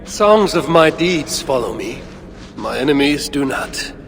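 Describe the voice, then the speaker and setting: A man playing a proud, commanding Norse warrior god in a video game, with gravelly, solemn and imperious speech. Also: a little high, animated, a little slow, crowd noise. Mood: calm, guarded. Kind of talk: dramatic video game acting. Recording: reverberant, noisy game cutscene ambience.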